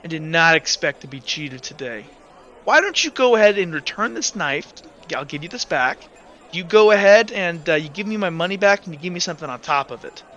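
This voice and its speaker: Protective father voiced by a male game master, firm and quietly threatening, politely menacing, with a bright edge. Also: a little high, lively intonation, a little fast, dull audio. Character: stern protective father, quietly threatening, not easily cheated.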